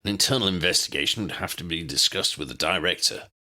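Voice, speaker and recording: A man voicing a gruff but fair commandant, authoritative and measured, in an audiobook story. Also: low voice, sharp tone. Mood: measured, knowing. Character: gruff but fair male commandant, cigar-smoking superior.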